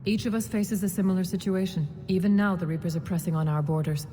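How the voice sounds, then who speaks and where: A woman's voice: fairly even intonation, quick pace, dark tone, soft background music, lightly acted. An actress playing a composed, grave female alien councilor in a game, speaking formally and diplomatically. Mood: grave, regretful. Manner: formal, solemn. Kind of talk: dramatic game dialogue. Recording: reverberant chamber, faint rumbling noise.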